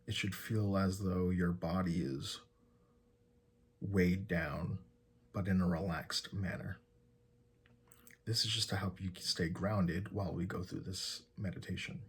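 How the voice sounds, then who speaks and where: A man guides a meditation in a deep voice. His delivery is very slow, with gentle instructions and long pauses. The tone is soft and extremely breathy, with a husky edge throughout. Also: fairly even intonation.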